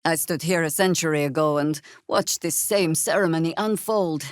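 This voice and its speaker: A woman playing a weathered, stern and wry old druid warrior in a video game, with a measured, dry, commanding delivery. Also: sits low, no echo, natural voice. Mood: grave, measured. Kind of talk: dramatic video game voice acting.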